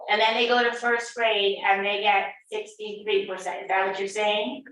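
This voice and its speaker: A woman's voice: mid-range voice, flat delivery, moderate pace, a bit bright. A woman school official at a meeting, directly restating a question and explaining the data. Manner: direct, clarifying. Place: large meeting room. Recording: very echoey, distant, faint room noise.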